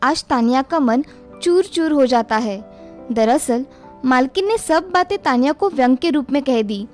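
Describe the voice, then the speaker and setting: High-voiced woman storybook narrator. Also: expressive, measured pace, faint music behind.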